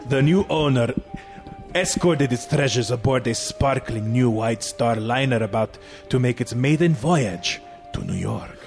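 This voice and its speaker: A man's voice: mid-range voice, creaky, a little mellow. A man and podcast co-host giving a dramatic reading of a story, with joking asides. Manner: hammy storyteller voice, breaks into laughs.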